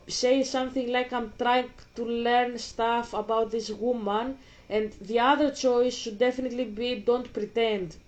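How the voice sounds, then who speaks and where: A woman's voice: fairly high pitch, low-quality recording. A woman with a Greek accent, a native Greek speaker, giving blunt, swear-filled commentary while playing a video game. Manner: blunt, swears a lot, animated rants. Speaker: gaming vlogger. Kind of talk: casual gameplay commentary.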